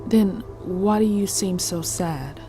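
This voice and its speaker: A woman playing a thoughtful, questioning Romulan woman, speaking in a measured, deliberate way in a sci-fi audio drama. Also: medium pitch, over music. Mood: pensive.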